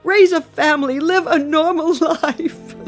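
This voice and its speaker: A woman's voice: very high-pitched, steady tone, unhurried, soft background music. An actress playing an anxious, smothering older widowed mother in a radio drama, fretful, tearful and nagging, with self-pity. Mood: tearful reproach.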